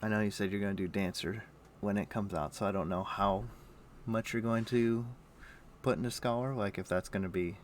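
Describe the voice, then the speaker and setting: Low-voiced man chatting casually on a game stream. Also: steady tone, brisk, a little hiss or hum. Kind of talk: casual chat while playing an online game.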